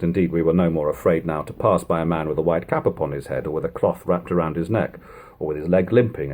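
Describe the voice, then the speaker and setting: A man narrating an eighteenth-century account as a dramatic, expressive audiobook reading. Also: deep voice, husky.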